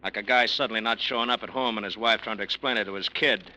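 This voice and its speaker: A hard-nosed police detective. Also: male voice, lowish voice, steady tone, fast, sharp tone, rough recording. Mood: needling. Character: tough, blunt male police detective. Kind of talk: 1940s radio acting.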